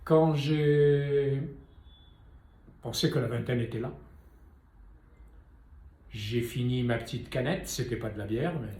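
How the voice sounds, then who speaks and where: An older man tells a story to his viewers with a warm, mellow voice. He talks in a loose, chatty way full of wry asides and direct questions. His voice echoes around the room. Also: mid-range voice, slow, pauses often. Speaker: painter and sculptor. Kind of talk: storytelling talk to camera.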